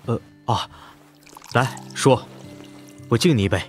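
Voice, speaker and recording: An actor playing a polite, steady young man with a modest, courteous manner in an audiobook drama. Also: medium pitch, measured pace, faint music behind, lightly acted.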